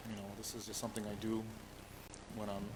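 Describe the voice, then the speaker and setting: A middle-aged man from New York City answering interview questions in an earnest, halting way, full of restarts and "you know"s. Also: lowish voice, monotone. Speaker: musician interviewed as guest. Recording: long reverb, faint background hiss.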